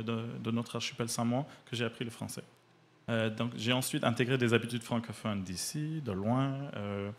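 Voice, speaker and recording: A man with a medium-pitched voice and as his gives a prepared arts column. He partly reads his reviews and explanations in the manner of a cultural radio segment.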